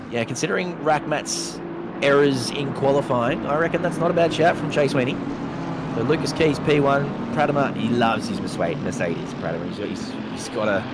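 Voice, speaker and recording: Aussie male race commentator, lowish voice, slightly under the weather, faint hiss behind. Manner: casual, chatty, uses slang. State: slightly sick voice. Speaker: sim racing commentator.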